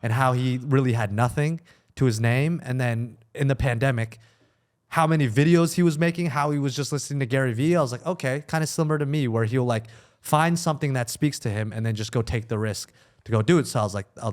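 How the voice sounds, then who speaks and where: A man's voice: mid-range voice, quick pace, darkish tone. A man chatting casually in a slangy, backtracking way, as if defending himself among friends. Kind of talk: casual banter chat.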